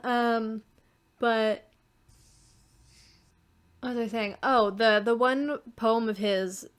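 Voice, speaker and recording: A woman's voice: on the high side, fairly even intonation, extremely slow, smooth. A woman talking casually as a writer on a podcast, in a hesitant, reflective manner. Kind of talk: casual chat.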